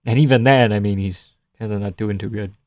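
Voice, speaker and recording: A man's voice: on the low side, fairly even intonation, a little fast, a little mellow, no room sound, clean studio sound. A young man hosting a live stream, chatting casually and sarcastically while reading viewer comments. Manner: sarcastic, offhand, swears and laughs often.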